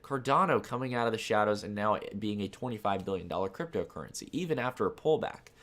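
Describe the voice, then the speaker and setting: Young male host, low pitch, congested nose, loose chatty delivery with restarts. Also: lively intonation, quick pace, fairly bright tone. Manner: chatty, repeats filler phrases, restarts. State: stuffed-up nose. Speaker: crypto market channel host. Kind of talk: casual market commentary to camera.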